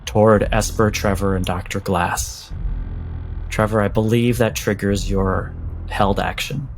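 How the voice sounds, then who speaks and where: A man narrating a tabletop horror game as game master, deliberate and suspenseful, often breaking his phrases for drama. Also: fairly low pitch, level tone. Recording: music behind the voice.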